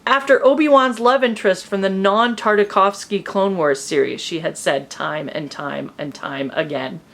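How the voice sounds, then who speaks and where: A woman reading fan fiction aloud as an expressive, amused comedic narrator. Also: mid-range voice, moderate pace, gravelly.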